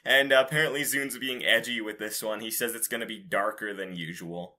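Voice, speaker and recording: A man's voice: on the low side, fairly even intonation, a little fast, bright, forceful tone. A man hosting a podcast, chatting casually about game news in an informal, rambling, casually profane way. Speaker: podcast host.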